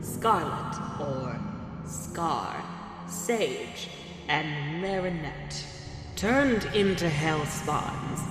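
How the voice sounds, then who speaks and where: A woman narrating a horror audio drama in a dramatic, scripted style. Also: deep voice. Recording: echoing hall reverb, music behind.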